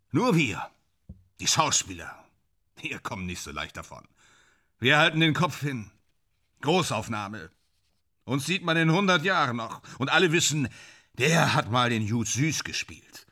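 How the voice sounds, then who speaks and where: A radio-drama figure of a hard-drinking film actor. His voice is forceful and bright, with very lively intonation. He broods sardonically and theatrically, full of bitter self-mockery. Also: mid-range voice. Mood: bitter, resigned. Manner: sardonic, brooding, theatrical, swinging from muttering to outbursts. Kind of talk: German radio play acting.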